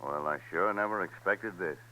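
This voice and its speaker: An actor playing a world-weary, tough frontier gunfighter with gruff, folksy phrasing in a 1950s radio western. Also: male voice, low-pitched, moderate pace, pushed and bright. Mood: grim, bitter. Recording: humming, noisy 1950s radio recording.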